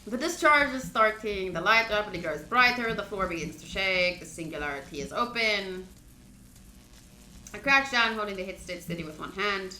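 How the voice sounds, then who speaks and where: A woman reading aloud as a tense young male hero narrating a time-travel visual novel, in a dramatic, deliberate manner. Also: mid-range voice, animated, pushed and bright, roomy sound, a little hiss or hum, low-quality recording. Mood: urgent, dramatic.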